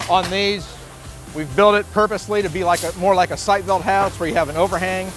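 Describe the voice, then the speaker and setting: Higher-voiced middle-aged man explaining informally. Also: steady tone, brisk, fairly bright tone, faint music behind. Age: 50s. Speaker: sales director giving a factory tour. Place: large indoor factory floor.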